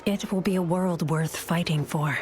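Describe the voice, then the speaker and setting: A woman's voice: on the low side, moderate pace, darkish tone, a little hiss or hum, lightly acted. A woman playing a thoughtful, idealistic adviser and companion to a hero in a video game, speaking in a reflective, composed way. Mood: solemn, hopeful. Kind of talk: dramatic game narration and dialogue.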